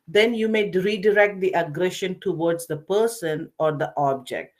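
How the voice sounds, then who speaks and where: A woman presenting a slide-based nursing lecture, explaining in short phrases with pauses in a didactic way. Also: fairly low pitch. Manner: didactic, recapping, pausing between short phrases. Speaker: webinar presenter, nursing educator.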